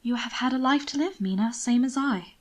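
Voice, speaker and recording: Casting: woman reading a gentle, deferential young lord, anxious and pained, softly hesitant, for storybook narration. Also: a little high, fairly quick, lightly acted. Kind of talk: audiobook character acting.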